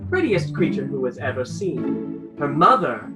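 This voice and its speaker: An actor playing a fussy, pompous storybook narrator, with theatrical, precise delivery in a comic radio play. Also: male voice, medium pitch, big pitch swings, measured pace, loud music behind the voice. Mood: grand, soothing.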